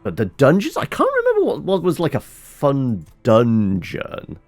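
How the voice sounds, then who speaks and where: A man's voice: mid-range voice, wildly swooping pitch, unhurried, darkish tone, soft background music. A man giving casual video game commentary, rambling and thinking aloud to himself. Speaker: gameplay streamer.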